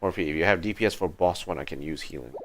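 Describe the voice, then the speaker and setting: A man's voice: deep voice, quick pace, a little hiss or hum. A man chatting casually as he livestreams a video game. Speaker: game streamer. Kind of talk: casual livestream chat while gaming.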